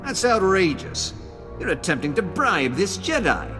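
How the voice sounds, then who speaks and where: An actor playing an earnest, principled senator in a video game, speaking emphatically. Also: male voice, sits high, sing-song, a little slow. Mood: indignant. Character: earnest indignant male senator. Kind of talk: video game cutscene dialogue. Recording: long reverberant hall, faint music.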